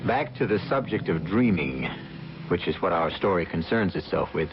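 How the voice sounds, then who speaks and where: Male old-time radio mystery host: low voice, dramatic swings, measured narration, music behind, muffled lo-fi broadcast sound. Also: lively intonation.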